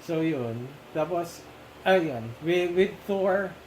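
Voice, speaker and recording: A man with a Filipino accent in casual back-and-forth about a film, talking haltingly with restarts and frequent laughs. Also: fairly high pitch, lively intonation, darkish tone. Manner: halting, many restarts and fillers, laughs often. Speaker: movie discussion co-host. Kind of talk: casual Taglish chat. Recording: noisy background.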